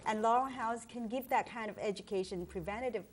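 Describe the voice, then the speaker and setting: Woman telling her story, sincere, bit halting. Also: on the high side, a little hiss or hum. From Vietnam. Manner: sincere, personal, slightly halting. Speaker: guest. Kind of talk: interview answers.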